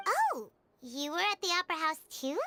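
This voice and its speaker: Squeaky, very high little fairy. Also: female voice, huge pitch swings, moderate pace, sharp tone, slight background noise, exaggerated voice. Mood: perky. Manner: squeaky, perky, speaks of self in third person. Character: tiny childlike floating fairy companion, perky and chatty. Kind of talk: video game voice acting.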